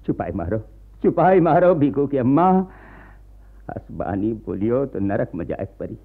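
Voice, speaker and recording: An actor playing a poor, god-fearing peasant in a radio play, deferential and pleading, with a rural Awadhi-flavoured North Indian accent. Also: male voice, mid-range voice, very animated, unhurried, gravelly, full character voice. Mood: anxious, hushing. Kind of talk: Hindi radio play acting. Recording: muffled lo-fi radio archive recording.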